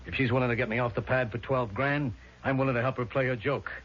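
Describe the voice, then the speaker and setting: An actor playing a tough, shady restaurant owner in 1970s radio drama, gruff, slangy and streetwise. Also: male voice, medium pitch, fairly even intonation, fast, a little hiss or hum, close to an everyday voice. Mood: grudgingly confessing. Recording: dull vintage radio recording.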